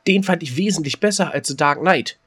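A man's voice: on the high side, brisk, fairly bright tone, husky. A man co-hosting a podcast in casual chat, hesitant and repeating words as he gives opinions. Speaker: podcast co-host.

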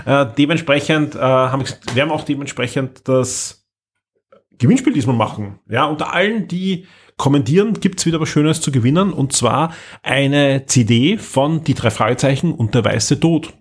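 Husky Austrian man, enthusiastic. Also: mid-range voice, normal speed. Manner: enthusiastic, emphatic, repeats words. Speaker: podcast host. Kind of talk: casual review chat.

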